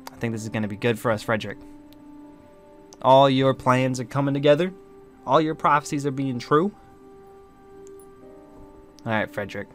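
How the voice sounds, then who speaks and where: A man's voice: mid-range voice, a bit bright, close to an everyday voice. A man playing a cocky, scheming warlock in a Minecraft roleplay, with casual, sarcastic delivery. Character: cocky, scheming warlock plotting to seize power.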